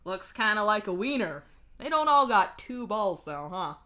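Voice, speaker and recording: A woman's voice: medium pitch, very animated, normal speed, bright, forceful tone. A woman voicing a young man faking a goofy, folksy Texan drawl in a story reading. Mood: playing dumb. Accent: mock Texan. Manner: drawling, folksy, playing dumb.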